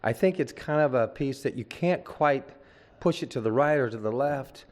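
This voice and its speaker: Brief: older male actor, mid-pitched, chatty and rambling, on-set interview. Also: normal speed, darkish tone. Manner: rambling, chatty, many asides and fillers.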